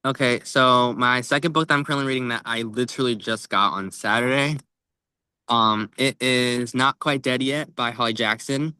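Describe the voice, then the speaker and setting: A man's voice: mid-range voice, fairly even intonation, normal speed, bright, forceful tone, clear, resonant tone, no echo. A man co-hosting a book podcast in casual, informal chat, often padding his phrases with "like". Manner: informal, tentative phrasing with frequent "like". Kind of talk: casual chat about books.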